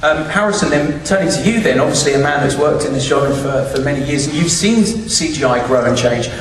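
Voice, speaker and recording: A man's voice: a little high, a little fast. A man hosting a live question and answer event in a large cinema, heard over an audience. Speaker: event host introducing guests and putting questions. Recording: very echoey cinema, distant mic, crowd noise. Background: audience noise.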